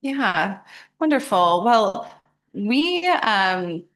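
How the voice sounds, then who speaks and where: A woman's voice: mid-range voice, sing-song, extremely slow, fairly bright tone. A woman presenting a program to students, interactive and encouraging, often affirming what they say.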